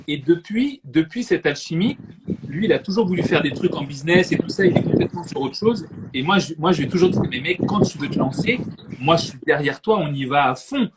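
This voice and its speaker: An animated man hosting a live chat, laughing often and repeating himself as he talks. His voice sits slightly high, and a steady hiss of noise runs under the live-stream audio. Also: a little fast. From French Caribbean. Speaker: host.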